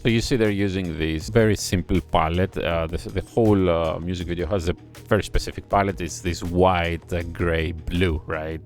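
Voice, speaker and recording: Male reviewer, very low gravelly voice, analytical chat, soft music behind. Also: steady tone. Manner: analytical, admiring, thinks aloud with pauses. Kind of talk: casual reaction commentary. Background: faint music video playing.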